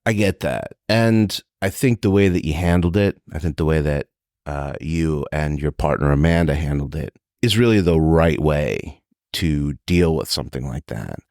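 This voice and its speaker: A man's voice: rumbling low, moderate pace, a little mellow, no room sound, clean studio sound. A man in his fifties hosting a casual interview, joking and self-mocking, laughing often. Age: 50s. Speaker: podcast host.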